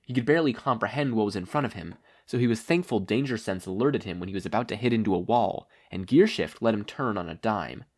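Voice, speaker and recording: A person narrating a story as an audiobook-style reading, with expressive delivery. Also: mid-range voice, fast talker, hardly any pauses. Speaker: fan fiction podfic reader.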